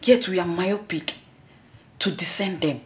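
A woman playing a husky defiant palace woman. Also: lowish voice, measured pace.

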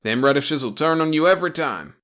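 A folksy old alien sage, played by a man in a comic storybook reading. He explains things in a homespun drawl, pitched a little above plain narration. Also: animated, fairly quick, fairly bright tone. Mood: folksy grumbling.

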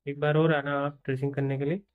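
A man, a doctor, asking a patient plain, quick questions in a casual bedside manner in a clinic room. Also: fairly high pitch, flat delivery, narrow, tinny audio.

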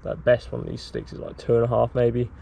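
A young man casually explaining a DIY build outdoors, talking to a handheld camera in a relaxed, rambling way. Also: mid-range voice, brisk, vocal fry, a little hiss or hum. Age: young adult. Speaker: sailing vlogger. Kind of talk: casual how-to vlog. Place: outdoors in a garden, later in a shed. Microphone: handheld camera at arm's length.

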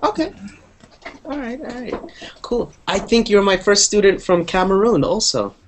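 A man's voice: high voice, animated, normal speed. A young man teaching an online English class on a video call, upbeat and encouraging, often saying cool. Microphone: earphone mic on a video call.

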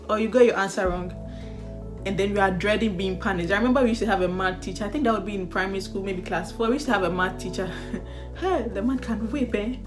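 A young woman with a Ghanaian accent, chatting casually and animatedly as a vlogger, with laughs and sighs. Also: mid-range voice. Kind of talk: casual chat.

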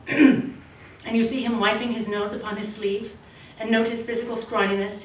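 A woman in her forties, a novelist, reading her prose in a measured, expressive way at a podium, then answering questions in a halting, self-correcting manner. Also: medium pitch. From grew up in Australia, Canada and the States. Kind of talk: literary reading, then casual Q&A. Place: small event room with a red curtain, indoors. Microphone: podium microphone, recorded from a far camera. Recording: echoey room, voice from a distance, faint hiss.

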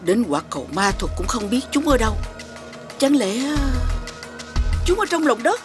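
A woman playing a scheming, imperious witch in an animated fairy tale, mocking and theatrical. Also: on the high side, normal speed. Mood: frustrated, commanding. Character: scheming, imperious wicked witch posing as mother. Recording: loud background music.

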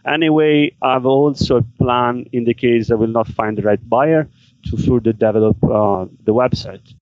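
A man's voice: medium pitch, fairly even intonation, dry recording. Man on a dull call. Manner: halting non-native English with fillers. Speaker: guest, business seller. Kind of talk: interview answers. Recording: dry, dull remote call audio.

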